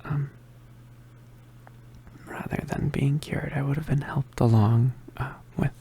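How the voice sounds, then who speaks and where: A man playing a badly injured, weary young male witch in an ASMR roleplay, murmuring haltingly in a weak, pained voice. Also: medium pitch, steady tone, creaky, warm tone, a little hiss or hum. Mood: weary, explaining. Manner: halting, hesitant, murmured, understated. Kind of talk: ASMR roleplay, soft intimate whispering.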